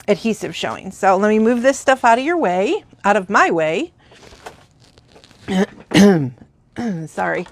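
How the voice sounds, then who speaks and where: A congested, sniffling woman chatting through a crafting tutorial, rambling with lots of fillers and gushing about supplies. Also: medium pitch. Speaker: craft demonstrator.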